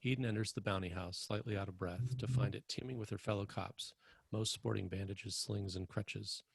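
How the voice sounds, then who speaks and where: A man reading screenplay stage directions as scripted narration, with a husky, gravelly voice. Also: lowish voice, fairly even intonation, normal speed, no echo.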